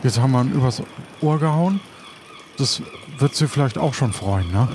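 Male player as casual sidekick con man: average pitch, halting everyday speech, improvised audio play, noticeably noisy recording. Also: expressive, natural voice. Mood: chummy. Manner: halting, colloquial, tag questions. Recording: clear background noise.

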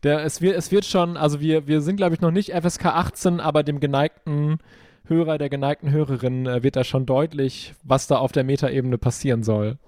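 A man's voice: a little high, fairly even intonation, a little fast, darkish tone, no room sound, studio quality. A man speaking as a podcast guest and sound designer in casual chat, with a jokey, understated irony.

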